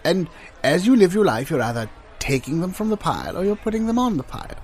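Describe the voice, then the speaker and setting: A man's voice: high voice, very animated, fast talker, darkish tone. A man playing a cheerful, pompous homespun philosopher in improvised comedy, repeating himself insistently over a noisy background. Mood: pontificating, cheerful.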